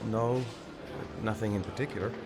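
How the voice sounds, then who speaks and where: An actor voicing a distraught father being questioned by the police about his missing son, speaking haltingly. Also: lowish voice, over music, lightly acted. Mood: shaken. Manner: halting, shaken. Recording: game audio with ambient noise.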